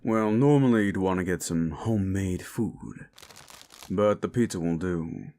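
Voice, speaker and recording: A man playing a gruff, world-weary demon agent who hunts monsters, with a terse, laid-back, wry drawl, in an action-horror audio drama. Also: low voice, measured pace, dark tone, slight background noise. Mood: casual, wry.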